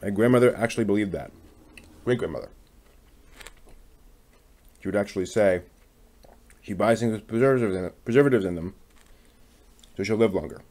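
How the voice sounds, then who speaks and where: Man, lowish pitch, casual livestream host, chatty, talking to himself while doing hobby work. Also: a little fast, long pauses, darkish tone. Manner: talks to himself while working. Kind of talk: casual hobby livestream chat while building a model kit.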